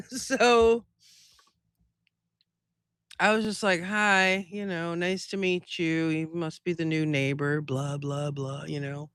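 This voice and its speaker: A man's voice: on the high side, takes it slowly, long pauses, fairly bright tone, clear, resonant tone, dry recording, clean studio sound. A man hosting a live stream in a casual, chatty style, telling things in a rambling, conversational way.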